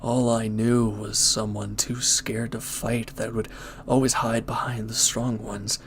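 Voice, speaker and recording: A man playing a former villain turned hesitant, conflicted lover, speaking earnestly and haltingly in an intimate audio roleplay. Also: lowish voice, flat delivery, slight background noise. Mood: regretful, earnest.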